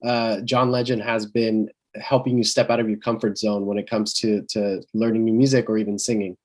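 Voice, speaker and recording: A male interviewer asking long, relaxed questions, with frequent "um" and "you know". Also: fairly low pitch, brisk.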